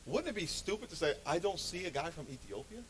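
A male preacher giving a conversational, anecdotal sermon, speaking in an animated, emphatic way and repeating phrases to make his point. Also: a little high, fast, a little hiss or hum, low-quality recording.

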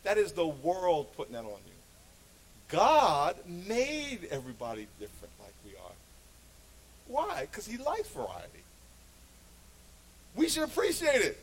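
A male preacher giving a conversational, anecdotal sermon, speaking in an animated, emphatic way and repeating phrases to make his point. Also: high voice, measured pace, pauses often, a little hiss or hum, rough recording.

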